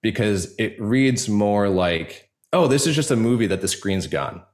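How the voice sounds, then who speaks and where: A man speaking reflectively as a podcast guest, earnest and halting, with a self-deprecating way of talking. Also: lowish voice, animated. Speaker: podcast guest, audio drama creator. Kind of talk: reflective interview conversation.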